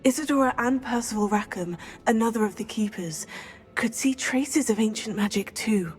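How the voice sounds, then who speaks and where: A woman's voice: a little high, moderate pace, faint music behind, natural voice. A woman voicing an earnest teenage girl student witch in a video game. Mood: curious, focused. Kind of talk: video game dialogue acting.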